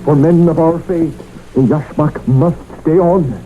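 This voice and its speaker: An actor playing a scheming husband in a radio mystery, speaking in a formal, measured, theatrical way. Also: male voice, fairly high pitch, lightly acted. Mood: pleading, insistent. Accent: put-on Middle Eastern, later English. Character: vengeful English husband posing as humble, devout Eastern merchant. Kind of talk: radio mystery drama acting. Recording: noisy, very muffled old radio recording.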